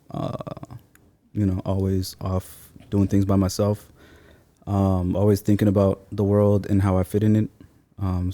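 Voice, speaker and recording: Casting: thirties male interview guest, very low and soft-toned, reflective, informal phrasing. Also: no echo. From Seattle, born in Somalia. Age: 30s. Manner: thoughtful, informal slangy phrasing. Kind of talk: reflective casual interview talk.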